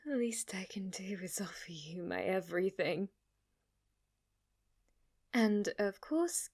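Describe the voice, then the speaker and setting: A woman's voice: medium pitch, a bit bright, lightly acted. A woman playing a flirty, submissive demon maid who speaks with fanatical reverence in an ASMR roleplay, sometimes dipping into a whisper. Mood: fervent, adoring.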